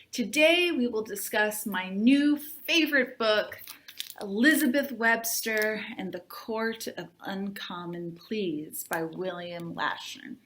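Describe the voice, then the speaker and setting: Lady librarian reading a book out loud for tweens, takes her time, really expressive. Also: medium pitch. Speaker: youth services librarian reading aloud to tweens. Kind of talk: expressive storybook read-aloud.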